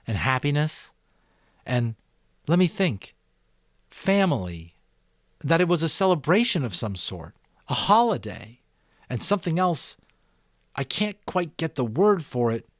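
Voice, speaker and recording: A man's voice: a little high, sing-song, unhurried, pauses often, dry recording, clean studio sound, voice pushed up. A man voicing an earnest, curious young boy in an audiobook, talking eagerly and questioningly as he reasons aloud. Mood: wondering. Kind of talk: audiobook character voice.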